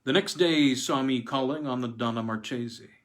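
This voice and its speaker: A man's voice: medium pitch, moderate pace, a bit bright. A man reading aloud as a story narrator, in a dramatic scripted style suited to old pulp horror fiction. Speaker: story reader.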